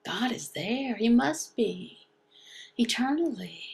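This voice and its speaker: High, husky woman teaching, slow and lively. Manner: emphatic, halting, short repeated phrases. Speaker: teacher voicing a signed religious lesson. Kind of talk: slow explanatory religious teaching, question and answer.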